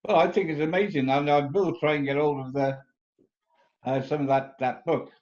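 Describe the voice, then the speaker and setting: Guy chatting on a video call, bit high, muffled sound, halting. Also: steady tone, darkish tone. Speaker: lecture participant. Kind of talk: casual discussion.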